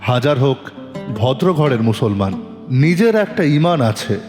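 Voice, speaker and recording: A man's voice: medium pitch, very animated, a little slow, a little mellow. A man playing a polite, probing police detective who narrates his case in a dramatic audio story, with questioning delivery. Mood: suspenseful. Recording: long reverb, music bed.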